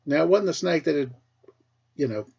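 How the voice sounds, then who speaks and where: A middle-aged American man, a teacher with a husky voice, tells casual personal stories for children in an animated way, acting things out as he goes. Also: a little high, normal speed, lo-fi sound. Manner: animated, acts out dialogue and sound effects. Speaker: school teacher telling stories to pupils.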